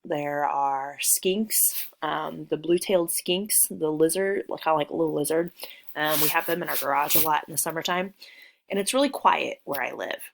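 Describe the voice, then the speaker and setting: A woman from Missouri teaching a recorded remote lesson from home, talking in a friendly, relaxed way with frequent ums. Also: low-pitched, normal speed, vocal fry, slight background noise. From Missouri, USA. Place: working from home.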